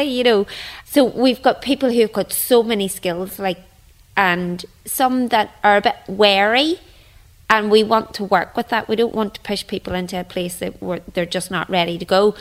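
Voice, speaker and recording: A woman's voice: mid-range voice, low-quality recording. A woman with a Northern Irish accent talking informally as an interview guest about her group. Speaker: drama group member, children's teacher, radio guest. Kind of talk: casual interview chat.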